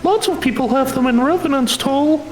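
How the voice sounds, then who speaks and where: Very high, squeaky little kid. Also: male voice, normal speed, reverberant, soft background music, rough recording. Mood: eager, curious. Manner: chatty, eager. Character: small excitable child eager to show off treasures.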